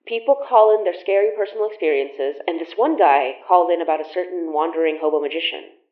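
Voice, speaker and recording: An actress plays a caring young woman in an audio drama, teasing and casual. She speaks in halting, everyday phrases. The sound is dull and echoes around the room. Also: on the low side, lightly acted. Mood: reassuring, chatty. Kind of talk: naturalistic audio drama acting. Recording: roomy echo, dull lo-fi sound.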